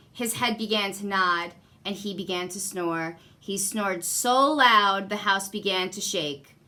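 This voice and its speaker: A woman reading a children's storybook aloud expressively, with deliberate emphasis and repetition for young listeners. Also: medium pitch, bright, forceful tone. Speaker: guest story reader.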